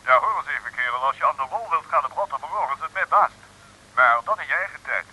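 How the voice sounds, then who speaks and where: Shrill, raspy scoffing official. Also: male voice, animated, fast talker, pushed and bright, heavily acted, rough recording. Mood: incredulous. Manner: incredulous, exclaiming, chatty. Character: jovial, scoffing male government official on the telephone. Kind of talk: 1960s radio comedy serial acting.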